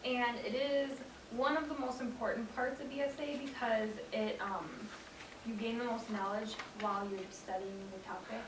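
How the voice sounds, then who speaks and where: Female student presenter, slightly high voice, steady delivery, far-off echoey room with noise. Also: moderate pace. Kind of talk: class presentation. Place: large dim classroom. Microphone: far camera.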